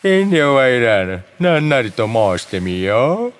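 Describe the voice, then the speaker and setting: A big, lazy cat king from an animated game, haughty and theatrical. The pitch leaps dramatically from low to high, ringing through a great hall. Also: slow, clear, resonant tone. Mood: regal, impatient. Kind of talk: anime game voice acting. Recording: long echo of a great hall.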